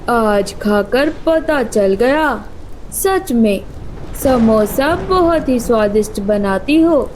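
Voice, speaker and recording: A woman's voice: sits high, darkish tone, a little hiss or hum, exaggerated voice, rough recording. A woman voicing a lazy, greedy male predator bird in a children's cartoon, musing and gloating with menace. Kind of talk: Hindi children's cartoon voice acting.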